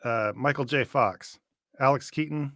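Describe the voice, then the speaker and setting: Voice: medium pitch, a little slow, a bit bright, no echo, clean studio sound. A podcast co-host chatting casually and riffing.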